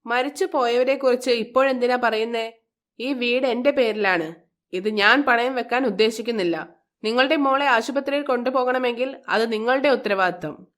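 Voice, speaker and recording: A woman puts on a middle-aged stepmother from a moral tale, spiteful and scheming. Her fairly high voice scolds and drips with contempt. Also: normal speed, fairly bright tone, close to an everyday voice. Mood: angry refusal.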